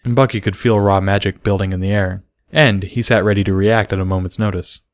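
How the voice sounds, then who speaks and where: Low-voiced man narrating a story. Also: steady tone, fairly quick, dry recording, studio quality. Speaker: audiobook reader.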